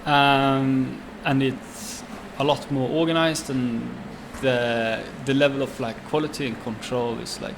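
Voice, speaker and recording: A young man with a Swedish accent talks casually, pausing often and restarting his sentences. His voice sits at a middling pitch. Steady background noise runs under the recording. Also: vocal fry. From Sweden. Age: 20s. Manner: halting, many fillers and restarts. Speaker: chef and restaurant owner. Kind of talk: casual interview.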